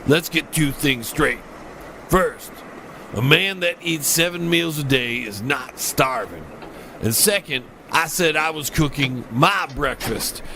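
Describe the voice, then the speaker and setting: A man acts out a crotchety old uncle for a cartoon comedy. He has a creaky, gravelly voice pitched slightly high. He is sarcastic and bawdy, and the recording carries faint noise. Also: expressive, takes it slowly, bright, forceful tone, full character voice. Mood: grumpy. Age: older. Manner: grumbling, sarcastic, crude. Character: cranky, lewd old uncle with a bawdy streak. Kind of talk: scripted animated comedy acting.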